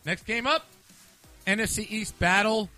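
A man's voice: high-pitched, unhurried, pushed and bright, faint music behind. A man hosting a casual sports picks show, talking in a playful, slangy and sometimes profane way. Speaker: sports podcast host. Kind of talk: casual sports pick banter.

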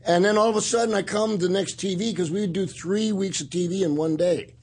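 A man's voice: sits high, fast talker, a little mellow, slightly rough. An older man hosting a podcast, telling swaggering anecdotes in a casual chat with frequent laughter. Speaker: podcast host.

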